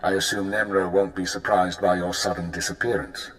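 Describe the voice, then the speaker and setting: An actor playing a formal, commanding intelligence handler who gives orders in measured lines, in a video game cut-scene. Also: male voice, low-pitched, fairly even intonation, fairly bright tone. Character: brisk senior male intelligence handler. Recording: roomy echo with faint noise.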